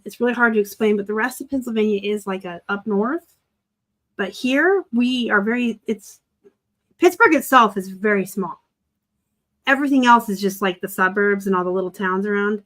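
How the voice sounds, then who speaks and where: A woman from Arizona chatting casually as host of a live stream, talkative and quick to laugh. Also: medium pitch, a bit bright. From Arizona, raised by a Southern family. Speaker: host of a reseller live chat.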